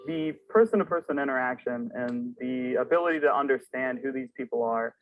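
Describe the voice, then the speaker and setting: A man's voice: mid-range voice, a little fast, no room sound, phone-quality sound, faint music behind. A man in his twenties from Ohio, a psychology student and counselor speaking thoughtfully in a testimonial. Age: 20s. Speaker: camp counselor. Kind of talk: testimonial interview.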